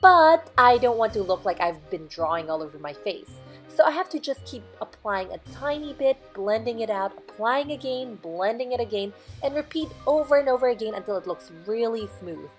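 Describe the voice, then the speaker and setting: Hoarse woman narrating a makeup tutorial. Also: fairly high pitch, expressive, normal speed, hardly any pauses, faint music behind. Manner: step-by-step instructive, chatty. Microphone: close voiceover mic.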